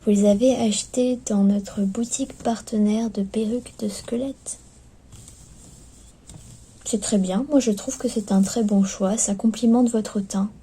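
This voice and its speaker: A woman speaks softly in an ASMR roleplay as clinic staff, often slipping into a whisper, with gentle, hesitant, attentive patter. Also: mid-range voice, steady tone, measured pace, husky. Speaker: ASMR performer playing skeleton clinic staff. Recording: lo-fi recording with background noise.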